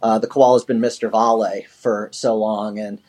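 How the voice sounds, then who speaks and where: A man's voice: on the low side, flat delivery, moderate pace, darkish tone. Chatty man with a hesitant delivery. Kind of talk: casual market commentary.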